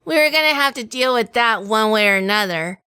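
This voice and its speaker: A woman playing a wry, tough female sleuth in a hardboiled noir audio drama, telling it in measured, dramatic fashion. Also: fairly high pitch, lively intonation, sharp tone, clean tone, no echo, studio quality. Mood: wry, weary. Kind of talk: first-person noir audio drama narration.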